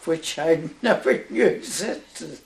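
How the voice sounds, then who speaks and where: A woman over a hundred years old with a Northern Irish accent, a nun slowly recalling her life in an oral history interview, halting and with soft laughs. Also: low voice, expressive, vocal fry, echoey room. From Northern Ireland. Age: over 100.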